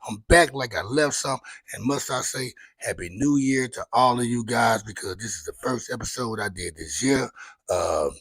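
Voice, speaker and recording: A man hosting his own talk show, chatting casually in a loose, candid way full of slang and swearing, with a raspy voice. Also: mid-range voice, normal speed, a bit bright, dry recording. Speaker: podcast host. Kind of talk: casual solo chat.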